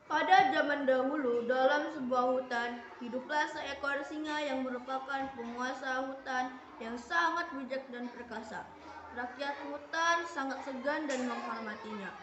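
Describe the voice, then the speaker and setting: High-voiced woman reading a fable. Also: moderate pace. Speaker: narrator of a class puppet show. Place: school room. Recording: echoey and noisy room.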